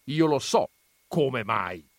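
Guy doing a loud bossy old granddad, storybook reading, dry sound. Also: expressive, takes it slowly, no echo. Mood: commanding. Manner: booming, commanding questioning. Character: stern old Armenian grandfather, patriarch.

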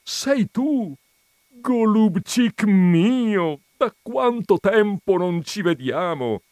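Man acting a hearty, delighted fat man, lively pitch. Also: no room sound. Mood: joyful surprise. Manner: exclamatory, drawn-out calls. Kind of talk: dramatized reading of a literary short story.

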